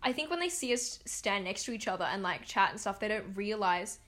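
A woman's voice: mid-range voice, expressive, a bit bright. A young woman, co-hosting a casual chat in a bubbly way with lots of "like". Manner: bubbly, quick, heavy use of "like". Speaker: podcast co-host. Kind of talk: casual chat between friends.

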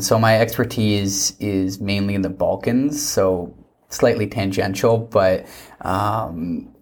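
A young male student on a panel, giving measured, academic explanations. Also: deep voice, a little mellow, breathy edge. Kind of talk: panel discussion.

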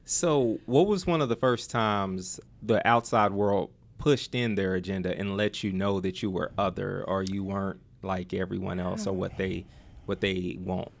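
Male interviewer, very deep voice with gravel, slow probing questions. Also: steady tone.

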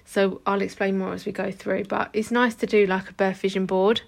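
Croaky woman, thirties, flat delivery, casual podcast host. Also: medium pitch, brisk. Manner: chatty, informal, swears casually. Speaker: podcast host and hypnobirthing teacher. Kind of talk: casual solo podcast talk.

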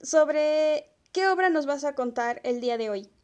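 A woman's voice: high voice, lively intonation, measured pace, a little mellow. A woman hosting an interview, introducing and putting prepared questions to a guest. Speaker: interview host.

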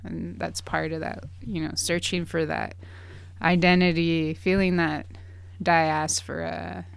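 Gravelly woman, relaxed chat. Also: sits low, slow pace, dry recording. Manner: relaxed, reflective, many fillers. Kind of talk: casual conversation.

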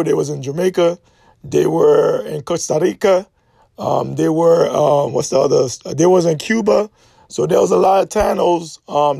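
A man's voice: sits high, creaky, a little mellow, breathy edge, no room sound, clean studio sound. A man from Haiti, giving an impassioned lecturing monologue, emphatic and insistent, repeating his points and checking in with "okay?". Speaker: speaker giving a history talk.

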